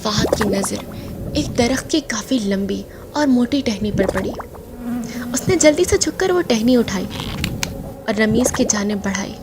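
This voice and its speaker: A woman's voice: a little high, lively intonation, unhurried, background noise. A woman giving a theatrical, suspenseful narration of a horror audio drama. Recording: loud music over the voice. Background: loud background music.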